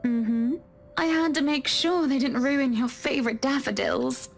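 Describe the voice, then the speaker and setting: An actress playing a poised wife in a visual novel, gently reproachful and sweetly evasive, measured and sugary. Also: female voice, high voice, a little hiss or hum. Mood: placating.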